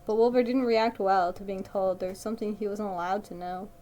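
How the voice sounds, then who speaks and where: A woman's voice: mid-range voice, steady tone, brisk, warm tone, slight background noise. A woman reads a story aloud and breaks in with blunt, mocking, sweary casual commentary. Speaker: reader reacting to a fan fiction story.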